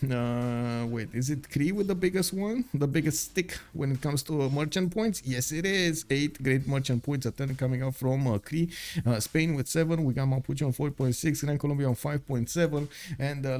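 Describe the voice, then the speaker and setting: Young guy, romanian is his first language, casting a strategy match live, lots of uhs, upbeat, mid pitch. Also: darkish tone. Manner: filler-heavy, upbeat, chatty with viewers. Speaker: game caster. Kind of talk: live esports commentary.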